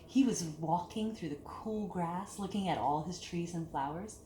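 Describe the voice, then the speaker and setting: A woman's voice: on the low side, normal speed, dark tone. Echoey woman reading a children's story. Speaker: storyteller reading a picture book aloud to children. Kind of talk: expressive children's story read-aloud. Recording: echoey room with clear background hiss.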